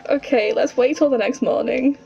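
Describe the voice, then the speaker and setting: A woman's voice: high-pitched, moderate pace, vocal fry, mellow, muffled recording. A woman game streamer giving casual, emotionally invested live reactions, talking half to herself as she plays. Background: game music.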